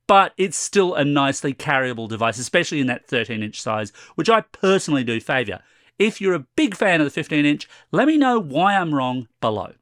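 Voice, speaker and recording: A slightly hoarse man in his forties giving a chatty, wry tech review to camera from a room at home. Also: medium pitch, a bit bright, no echo, studio quality. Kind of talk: casual product review to camera.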